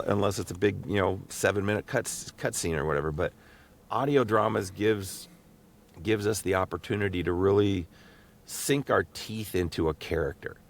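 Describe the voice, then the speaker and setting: A man hosting a casual podcast, talking in a halting, rambling way and repeating words as he thinks aloud. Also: deep voice, gravelly. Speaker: podcast host and voice actor. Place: small home recording booth.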